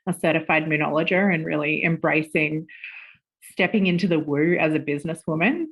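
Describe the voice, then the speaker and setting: A woman in her forties, a podcast guest, chatting casually with lively laughter and the odd swear word. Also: deep voice, a little fast, poor audio. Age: 40s. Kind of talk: casual interview chat.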